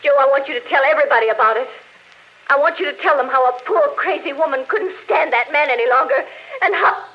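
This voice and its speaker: A woman's voice: high-pitched, fast talker, natural voice. A woman playing a frightened, dying woman, pleading and overwrought, in 1940s radio melodrama on a vintage record. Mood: desperate confession. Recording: muffled, noisy vintage record playback.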